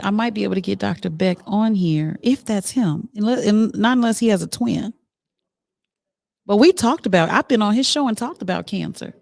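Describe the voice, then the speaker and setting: A woman's voice: mid-range voice, vocal fry, no room sound. A middle-aged woman hosting a live show in a casual, chatty way, talking directly to her viewers. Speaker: talk show host.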